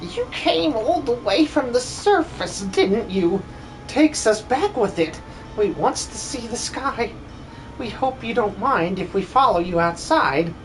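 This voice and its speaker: A man's voice: very high-pitched, lively intonation, measured pace, slight background noise, heavily acted, low-quality recording. A man voicing a small, polite female game creature with quaint, broken grammar. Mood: wistful, polite.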